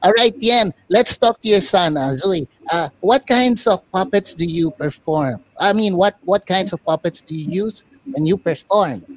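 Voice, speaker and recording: A mid-pitched host runs a live online interview over a video call. The delivery is hesitant between phrases, with announcer-style introductions for the guests. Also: moderate pace, poor audio. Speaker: puppet show host.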